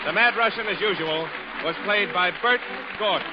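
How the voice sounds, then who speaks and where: A man's voice: high voice, normal speed, over a noisy crowd. A man announcing in a formal 1930s radio sign-off style. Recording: lo-fi radio, loud music and audience.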